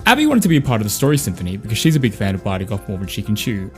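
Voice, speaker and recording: A man's voice: lowish voice, huge pitch swings, very fast, soft background music. An Australian man hosting a podcast, reading a scripted outro and credits. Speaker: podcast host and voice actor.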